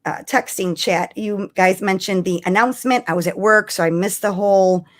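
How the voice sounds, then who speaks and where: A woman's voice: medium pitch, moderate pace. Casual woman hosting a live chat. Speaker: live stream host.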